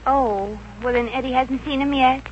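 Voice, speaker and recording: A woman's voice: high-pitched, steady tone, moderate pace, close to an everyday voice. An American actress playing a brash, wisecracking young woman with a slangy, direct manner in a 1950s radio comedy. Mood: pushy, curious. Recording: muffled old radio recording with hiss.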